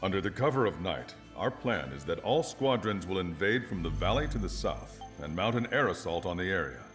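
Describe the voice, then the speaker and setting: A stern military officer briefs a mission in a video game. His voice is very deep, with lively swings in pitch and a formal, authoritative delivery. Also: fairly quick, faint music behind. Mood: formal, resolute.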